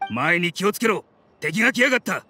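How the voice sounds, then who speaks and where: A man's voice: high voice, sing-song, fairly quick, sharp tone, a little hiss or hum, exaggerated voice. An actor playing a resolute, dutiful male desert mercenary in an anime game, speaking in a firm, commanding way. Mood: urgent.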